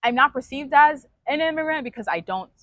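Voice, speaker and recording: A woman's voice: high-pitched, a little fast, no echo. Outspoken woman interviewee, blunt, dry close sound. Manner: frank, animated, swears casually. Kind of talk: candid interview.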